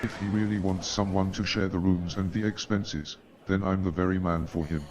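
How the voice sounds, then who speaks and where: Man acting as deep-voiced, hearty Victorian Watson. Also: steady tone, normal speed, noisy background, natural voice. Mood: hearty, eager. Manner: exuberant, hearty. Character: Watson, lonely, eager ex-army man in Victorian London. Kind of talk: comic staged radio play acting. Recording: dull band-limited sound, crowd murmur behind.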